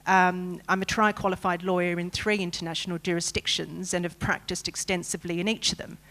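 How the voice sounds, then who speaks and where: A woman's voice: lowish voice, level tone, fairly quick, a bit bright, rough recording. An Australian woman, a lawyer speaking on a conference panel, articulate and emphatic, often opening her points with a filler.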